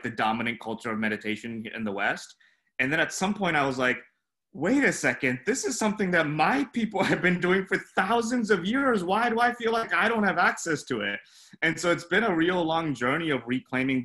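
A man's voice: medium pitch, bright, forceful tone. A man born in Japan and raised in the States, speaking earnestly as a panelist in a discussion, with frequent "like" fillers.

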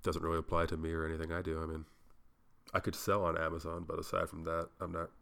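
A man's voice: extremely low pitch, monotone, fast talker, vocal fry, fairly bright tone. A young man hosting a solo podcast, rambling casually and swearing, sounding a little congested. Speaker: podcast host.

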